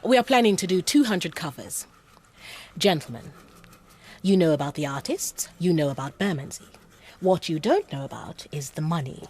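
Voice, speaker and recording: A woman's voice: sits low, sing-song, normal speed, a little mellow. An actress playing a self-assured British female con artist in a radio drama, poised, persuasive and businesslike. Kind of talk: naturalistic radio drama acting.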